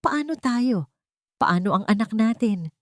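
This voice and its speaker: A woman's voice: fairly high pitch, lively intonation, measured pace, no room sound, voice pushed up. A hesitant, guilt-ridden male doctor, read by a woman narrator in an audio story. The delivery is uneasy and resigned, with a dry, close sound. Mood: fearful. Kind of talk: dramatic audio storybook acting.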